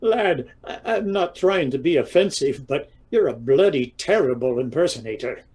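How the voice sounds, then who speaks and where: An actor playing a grumbling, teasing older Irish husband in an audio comedy drama, with an Irish accent and a dry manner. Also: male voice, on the high side, lively intonation, moderate pace, a little mellow, breathy edge.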